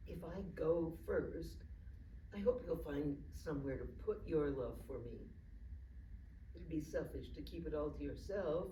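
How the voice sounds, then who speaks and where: An actress playing a frail, forgetful old wife in a staged play reading, anxious and tender, with halting speech. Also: female voice, low voice, warm tone. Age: older. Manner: hesitant, halting, stammering. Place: live staged reading in a room. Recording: echoey room, distant mic, faint noise.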